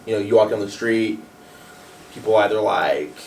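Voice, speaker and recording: A man with a deep voice, talking candidly on a radio panel, hesitant and full of "like" and restarts. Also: normal speed, recorded from a distance, slight background noise, poor audio. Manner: hesitant, many fillers and restarts. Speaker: panel guest. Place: radio studio.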